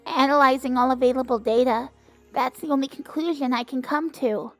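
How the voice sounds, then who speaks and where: A woman voicing a gentle, earnest AI computer program in an anime fan dub. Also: high voice, fairly even intonation, fairly quick, no room sound, faint music behind, natural voice.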